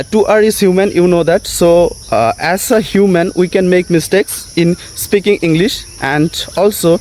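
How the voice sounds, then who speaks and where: A man with a Bengali accent, a native Bengali speaker, giving an earnest motivational English lesson, often pausing with uh and okay. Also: fairly high pitch, a little mellow, a little hiss or hum. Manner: earnest, repetitive, frequent uh and okay fillers.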